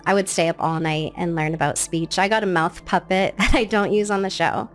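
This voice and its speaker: A woman in her late thirties, a guest in a casual interview, with a naturally high, sing-song voice that jumps up into bright baby-talk tones when she quotes. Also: steady tone, brisk, soft background music. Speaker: guest, children's show creator.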